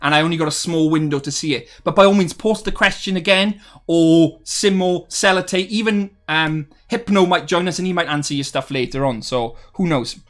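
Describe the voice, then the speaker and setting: A young man talks casually on a live stream, rambling through his thoughts with frequent ums. His voice sits a little high for a man and brightens whenever he gets excited about his viewers. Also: normal speed. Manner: chatty and rambling, many ums, upbeat bursts when viewers join. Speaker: streamer hosting a game development talk. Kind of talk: casual live stream chat, reading chat messages aloud.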